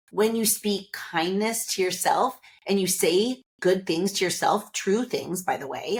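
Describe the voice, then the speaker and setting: A woman hosting a podcast, talking to listeners in a casual, upbeat and chatty motivational monologue, seated indoors. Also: on the low side. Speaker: podcast host.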